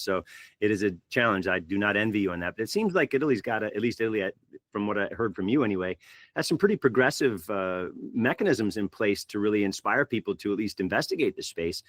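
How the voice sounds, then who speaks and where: A man's voice: fairly low pitch, expressive, fast, dry recording, clean studio sound. A man from the United States moderating a panel, talking conversationally and asking questions with plenty of fillers. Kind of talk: panel discussion.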